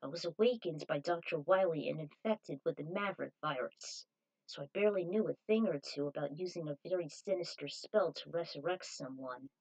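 Woman reading as grave, lowered-voice male robot. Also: brisk, darkish tone, low-quality recording.

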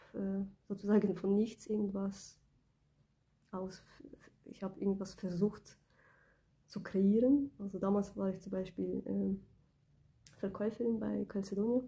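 Soft-spoken young woman with a cold, from Ukraine. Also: medium pitch. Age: 20s. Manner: soft-spoken, hesitant, many fillers. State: has a cold. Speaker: beauty business owner. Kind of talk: casual personal vlog. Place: small indoor room, plain wall. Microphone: phone camera close up.

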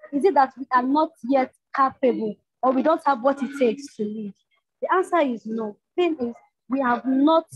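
High husky Nigerian woman on a video call. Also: no echo. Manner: earnest, urging, repeats phrases for emphasis. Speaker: panelist, leader of a campus organization. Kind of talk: impassioned panel talk. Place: indoor room at home. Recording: muffled lo-fi video call audio.

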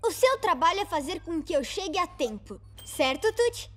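Earnest, high-voiced boy motorcycle. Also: big pitch swings, normal speed. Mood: impatient. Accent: Brazilian. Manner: instructive, urgent. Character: earnest, bossy young boy rescue motorcycle. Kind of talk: children's cartoon dub. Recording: background music.

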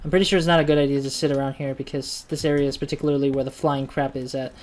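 A man's voice: medium pitch, fairly even intonation, racing, darkish tone. A young man with a Chinese accent giving casual, rambling commentary while playing a game, often muttering to himself and correcting himself. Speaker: gaming channel host. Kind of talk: casual let's-play commentary.

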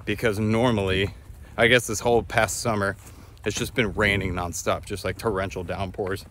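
A man's voice: lowish voice, steady tone, moderate pace, fairly bright tone, slight background noise. A man in his thirties chatting casually and reflectively to a phone while walking outdoors. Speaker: travel vlogger. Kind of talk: casual walking vlog chat. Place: outdoors on a countryside footpath. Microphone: phone at arm's length.

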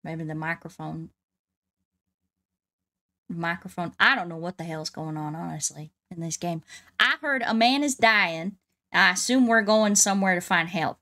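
A woman's voice: lowish voice, normal speed, bright, forceful tone. A woman with a put-on Southern US drawl chatting casually to livestream viewers in a folksy, exclamatory country-bumpkin way while gaming. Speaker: livestream host playing a video game. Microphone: close streaming microphone.

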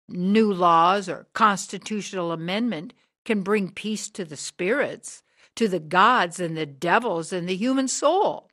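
A woman playing a driven, earnest sixty-year-old sage in a radio drama, speaking in deliberate, solemn oratory. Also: medium pitch, a bit bright. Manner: declamatory, preaching, deliberate.

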